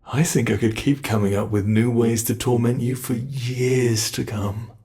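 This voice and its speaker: A British man as a gravelly gloating monster. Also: mid-range voice, steady tone, mellow, close to an everyday voice. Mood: gloating menace. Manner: slow, taunting, mocking. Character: cruel gloating male monster keeping a human captive. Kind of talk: ASMR horror roleplay.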